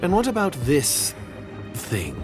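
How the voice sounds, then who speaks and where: A man's voice: on the high side, huge pitch swings, slow, heavily acted. A man voicing a suspicious, contemptuous conspirator in a video game, guarded and formal. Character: suspicious, secretive male conspirator, cold and contemptuous villain. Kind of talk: video game character acting. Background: background music.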